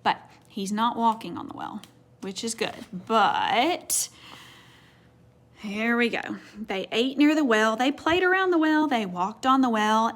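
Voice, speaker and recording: A woman reading a story aloud to children, teacherly, asking questions and dropping into hushed asides. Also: a little high. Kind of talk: animated read-aloud with asides to young listeners.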